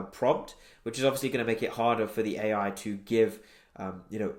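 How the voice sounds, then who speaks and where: A man presents a casual screen-share tutorial in a roomy, echoey recording. His voice sits at a middling pitch, and he explains things step by step in a conversational way.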